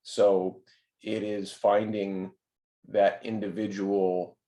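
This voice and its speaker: A man with a fairly low voice interviews a participant over a video call. He rambles and circles back with many fillers and restarts. His voice carries a roomy echo. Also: level tone, takes it slowly, warm tone. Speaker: program facilitator and interviewer. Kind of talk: conversational interview.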